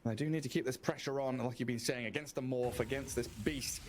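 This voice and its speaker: A man's voice: mid-range voice, fairly even intonation, fairly quick, a little mellow, a little hiss or hum. A man giving live play-by-play commentary on an esports match, with wry, playful asides.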